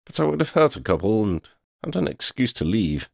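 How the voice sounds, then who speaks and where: A man's voice: lowish voice, very animated, a little fast, gravelly, no room sound, studio quality, pitched above the performer's own voice, heavily acted. A man voicing a proud, lordly talking male cat in a storybook, with pompous, formal wording. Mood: sombre confession. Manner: pompous, formal wording with Scottish turns of phrase.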